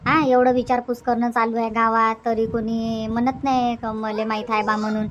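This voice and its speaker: A woman's voice: fairly high pitch, little pitch movement, moderate pace, highs cut off, slight background noise, natural voice. A woman voicing an older, indulgent but bossy village grandmother in a cartoon comedy, repetitive, with a Varhadi Marathi accent. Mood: chatty.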